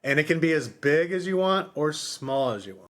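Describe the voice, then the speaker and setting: A man's voice: on the high side, expressive, normal speed. Guy podcast co-host, loose rambly chat, keeps doubling back on his words. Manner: rambling, repeats himself. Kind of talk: casual book discussion.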